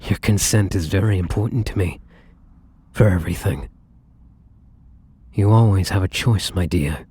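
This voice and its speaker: A man playing a tender, devoted, battle-weary vampire lover in an intimate ASMR roleplay, speaking softly and breathily with gentle, hesitant, affectionate pauses. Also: sits low. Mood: devoted, earnest. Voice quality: soft, breathy, husky.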